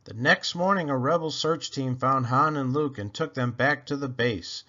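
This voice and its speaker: A man's voice: mid-range voice, normal speed, bright, forceful tone. Man reading a storybook, dull lo-fi sound. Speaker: storybook reader. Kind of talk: scripted read-aloud storytelling.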